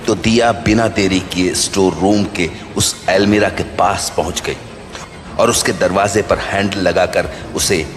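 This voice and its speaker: A man's voice: sits low, steady tone, measured pace, noisy background. A man narrating a scripted horror story as the storyteller. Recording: long reverb over background music.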